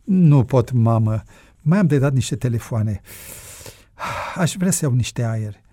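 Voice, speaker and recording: A narrator voicing a guilt-ridden, earnest grown son in a hushed, heavy-hearted way. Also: mid-range voice, animated, dry recording, studio quality, lightly acted. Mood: remorseful, tired.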